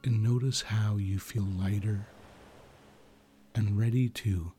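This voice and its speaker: Male meditation guide, lowish pitch, very unhurried delivery, soothing, long pauses, music clearly behind. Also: fairly even intonation, mellow.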